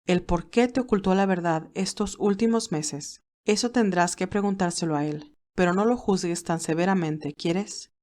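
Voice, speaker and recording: A woman playing a wise, gentle older motherly mentor, speaking in a measured, reassuring way in an audio drama. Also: lowish voice, natural voice. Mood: gentle counsel. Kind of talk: audio drama character acting.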